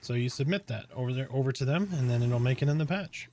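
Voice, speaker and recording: Chill game streamer guy, calm soothing voice, mid pitch, rambles thru explanations. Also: fast talker, slight background noise. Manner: explains step by step in a relaxed, rambling way. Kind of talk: casual live-stream chat.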